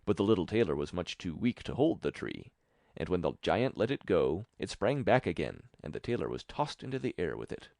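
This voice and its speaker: Male audiobook narrator, low pitch, gravelly creak, fairy tale reading, expressive, dry studio-like sound. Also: brisk, no echo.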